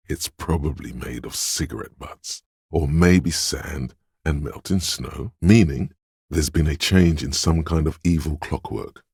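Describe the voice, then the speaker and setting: A man voicing a cool, sly, goading inner voice in a video game, narrating in the second person with deliberate, savored words. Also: a little mellow, no room sound, studio quality, heavily acted. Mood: wry. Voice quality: very deep, gravelly, husky.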